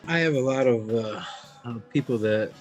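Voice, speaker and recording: A man's voice: mid-range voice, moderate pace, highs cut off, over music. A man working as a concert promoter, talking casually with some hesitations in a documentary interview.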